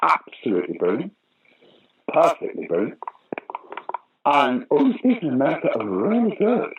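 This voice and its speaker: A man's voice: fairly high pitch, wildly swooping pitch, moderate pace. An older man, an actor and pantomime director, chatting through a casual interview and telling anecdotes with acted-out quoted voices. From Britain. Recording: dull lo-fi audio with faint noise.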